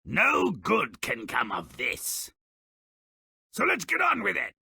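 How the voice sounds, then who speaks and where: A man voicing a grumpy, gleefully malicious little imp who tells fairy tales in a video game, gravelly, raspy, theatrical and mocking. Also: sits high, wildly swooping pitch, takes it slowly, pushed and bright, dry recording, clean studio sound, heavily acted. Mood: sardonic, mischievous. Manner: mocking, theatrical, relishing misfortune. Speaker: storyteller character of a fairy tale game. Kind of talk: theatrical storybook narration with game quips.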